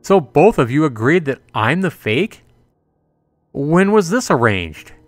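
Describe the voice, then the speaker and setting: A man voicing a bewildered, defensive young man in a visual novel, halting and earnest as he pleads. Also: on the high side, sing-song, no echo, soft background music, pitched above the performer's own voice. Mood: upset, pleading.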